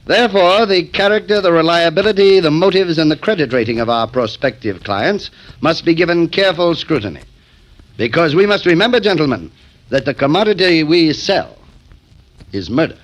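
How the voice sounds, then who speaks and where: A man's voice: a little high, animated, normal speed, highs cut off, lightly acted. A man playing a smug crime syndicate boss who lectures like a company executive, in 1940s radio acting style. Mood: smooth, lecturing. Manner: pompous, lecturing, businesslike. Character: smug male murder-syndicate boss talking like a businessman. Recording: vintage 1940s radio recording.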